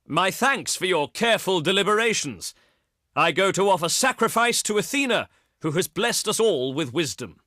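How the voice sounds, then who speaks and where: An actor playing a proud, pious ancient Greek diplomat in a strategy game, speaking in formal, theatrical oratory with haughty eloquence. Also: male voice, sits high, very animated, normal speed, pushed and bright, no room sound, clean studio sound, heavily acted. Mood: pleased, gracious. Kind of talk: theatrical scripted game dialogue.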